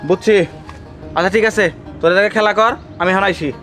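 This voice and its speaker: Cartoon bully, played by a man: tall big brother, high-pitched, menacing swagger, music behind. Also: fairly even intonation, quick pace, a bit bright. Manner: threatening, swaggering. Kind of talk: cartoon voice acting.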